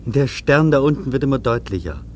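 A man's voice: medium pitch, expressive, fairly quick, darkish tone, close to an everyday voice. A man playing a world-weary, solemn flier who muses poetically in a literary radio play. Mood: solemn, awed. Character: world-weary male flier musing on the earth below. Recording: clearly noisy old radio play recording.

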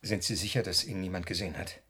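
An actor playing a smooth-talking, coldly sinister male doctor in a radio drama, measured and placating in manner. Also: low voice, sharp tone, full character voice. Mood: coldly businesslike.

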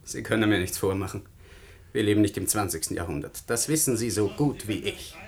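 An actor playing a stubborn, principled young scientist in a German radio play, earnest and challenging in formal speech. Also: male voice, fairly low pitch, fairly quick, close to an everyday voice. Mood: indignant, resolute.

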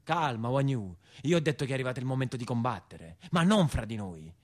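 A man's voice: medium pitch, expressive, brisk, voice pushed down, natural voice. A man playing a stern, rousing chief of brigands with a commanding, urgent manner and a southern Italian accent, in a theatrical monologue.

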